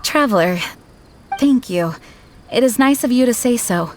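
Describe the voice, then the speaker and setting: A woman's voice: on the high side, expressive, a little slow, slight background noise. A woman playing a dutiful young female knight leader in a video game, speaking politely, formally and earnestly. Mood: sincere, resolute.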